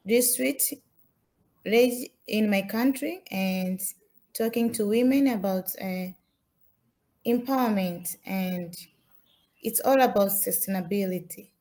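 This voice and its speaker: Slow, halting young Tanzanian woman presenting. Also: medium pitch, darkish tone. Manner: halting, many fillers and restarts. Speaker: student presenter. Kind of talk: slide presentation.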